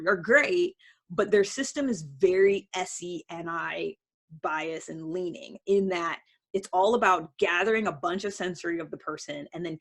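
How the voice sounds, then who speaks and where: A woman's voice: fairly low pitch, fairly bright tone. A woman vlogger explaining things casually and unscripted, in a rambling conversational way with many hedges. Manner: conversational, rambling, hedging with "like" and "sort of". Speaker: video blogger on personality typing.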